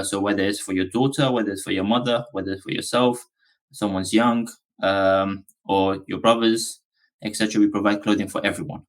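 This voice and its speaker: A man from Afghanistan, a business founder being interviewed on a podcast, talking in a relaxed, rambling way with many ums and uhs. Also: fairly low pitch, poor audio.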